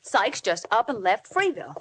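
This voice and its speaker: Actress as animated, sarcastic female reporter, old radio. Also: medium pitch, moderate pace, close to an everyday voice. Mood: incredulous, arguing. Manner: sharp-tongued, questioning. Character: brisk, sarcastic, exasperated female newspaper reporter. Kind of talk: 1940s radio serial acting. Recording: noisy old radio recording.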